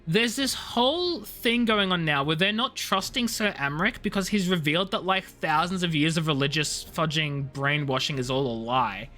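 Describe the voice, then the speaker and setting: A woman's voice: low voice, moderate pace, few pauses, pushed and bright, soft background music. A woman chatting casually as a game streamer, playful and full of jokey asides. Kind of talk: casual live-stream chat and commentary.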